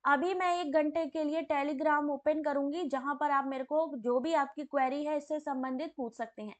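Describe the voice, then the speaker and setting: A woman with a hoarse, sore throat teaching a live online exam-prep class, reading out questions and chatting with viewers by name. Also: high-pitched, steady tone, moderate pace.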